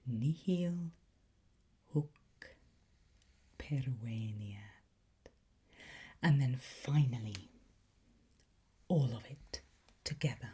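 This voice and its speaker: A woman doing a whispered ASMR teacher roleplay, softly and deliberately drawing out and enunciating her words. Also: a bass voice. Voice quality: breathy, soft whisper. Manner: slow, deliberate, drawn-out enunciation. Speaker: ASMR roleplay host as a teacher of demonic Latin incantations.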